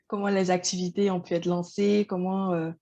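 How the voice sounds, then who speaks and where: A woman's voice: lowish voice, level tone, normal speed, warm tone, muffled recording. A woman moderating a webinar, passing the floor to guests and asking questions, with frequent hesitations. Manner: asks questions, hesitant with fillers.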